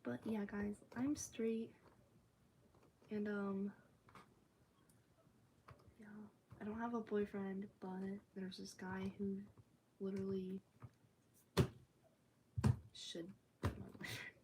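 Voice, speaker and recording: A teenage girl chatting on her own livestream, rambling loosely with lots of "like" and now and then dropping into a soft whisper. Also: medium pitch, little pitch movement, takes it slowly, long pauses, slight background noise. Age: teens. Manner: rambling, chatty, filler-heavy talk with frequent "like". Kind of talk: casual livestream chat. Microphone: phone or tablet.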